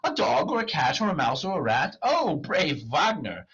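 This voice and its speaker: A man's voice: mid-range voice, big pitch swings, moderate pace, sharp tone, slightly rough, dull audio, pitched above the performer's own voice, heavily acted. A man voicing a poor, cheeky clown servant in an Elizabethan comedy, theatrical and exclaiming. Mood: eager. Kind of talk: Elizabethan stage comedy reading.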